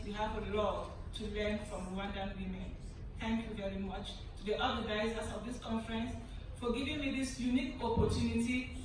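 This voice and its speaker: A young woman from Cameroon, giving a formal prepared address at a conference in an earnest, emphatic way, often repeating phrases. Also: on the high side. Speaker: conference speaker. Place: large conference hall. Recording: echoey hall, distant mic, background noise.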